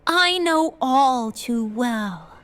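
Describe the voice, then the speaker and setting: A woman's voice: high-pitched, animated, drawn-out words, fairly bright tone, smooth, full character voice. A woman playing a frightened yet defiant young mage in a fantasy audio drama, forcing her words out under strain. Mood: pained defiance.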